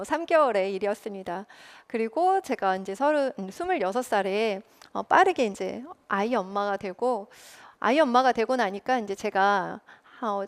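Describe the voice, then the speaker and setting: A woman in her forties telling her own career story in a lecture, candid and self-deprecating, with many fillers. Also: on the high side. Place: lecture recording.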